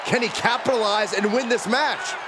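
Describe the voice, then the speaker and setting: A man voicing a high, excitable wrestling commentator over a crowd. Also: normal speed, heavy background noise, lightly acted. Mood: excited. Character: male wrestling commentator, easily astonished. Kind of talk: sports commentary.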